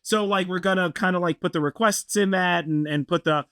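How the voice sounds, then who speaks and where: Rambling man with a slightly high voice. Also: a little fast, a bit bright, clean tone, dry recording, studio quality. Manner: rambling, heavy on "like" and restarts. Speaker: podcast co-host. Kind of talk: casual chat.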